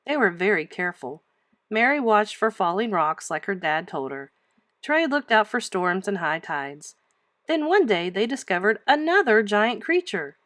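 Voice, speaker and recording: A woman reads a children's storybook aloud in a teacherly way. Her mid-pitched voice is highly expressive and emphatic, moving up and down a lot, and the sound is dry and close. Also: moderate pace, no echo.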